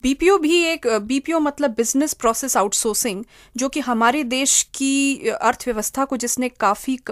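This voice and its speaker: Female interview guest, higher-pitched, explanatory delivery with examples, dry recording. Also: no echo. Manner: explanatory, spells out terms with examples. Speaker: human resource consultant, interview guest.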